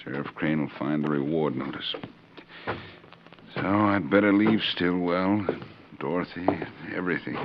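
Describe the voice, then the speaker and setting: A very deep-voiced troubled young rancher on the run. He acts in earnest 1940s radio western style, on a muffled recording with faint noise. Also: a little slow. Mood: dejected. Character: troubled, desperate young rancher, secretly escaped convict.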